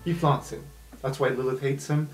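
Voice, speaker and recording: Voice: medium pitch, fairly even intonation, moderate pace. A game master narrating an improvised tabletop adventure in an informal, conversational way. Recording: music bed under the voice. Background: background music.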